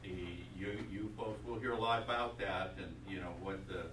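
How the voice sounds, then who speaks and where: A man's voice: low-pitched, fairly even intonation, moderate pace. A man informally moderating a public meeting, speaking as a water manager and introducing and responding to speakers. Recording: echoey room, distant mic, clear noise.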